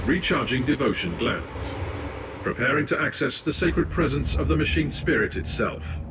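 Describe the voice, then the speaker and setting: A man's voice: medium pitch, animated, normal speed, heavy background noise, natural voice. An actor playing a clinical, devout male cyborg tech priest in a video game, speaking in a formal, procedural manner. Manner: formal, precise, stiffly procedural. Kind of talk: scripted video game voice acting.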